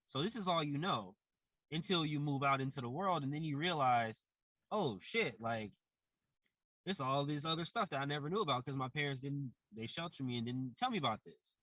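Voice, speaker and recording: A young man talks on a podcast in a relaxed way and makes his points with everyday comparisons. His voice is bright and sits a bit high. The sound is dry and close. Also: moderate pace, dry recording, rough recording. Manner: loose, explains with everyday comparisons. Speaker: podcast co-host. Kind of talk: casual chat.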